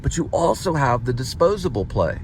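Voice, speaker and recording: A man's voice: mid-range voice, big pitch swings, brisk, a little hiss or hum. A man from the United States giving a rambling, informal vlog monologue in a conversational way, often checking in with the listener. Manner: conversational, checks in with "right?" and "you know". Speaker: vlogger, playwright and actor.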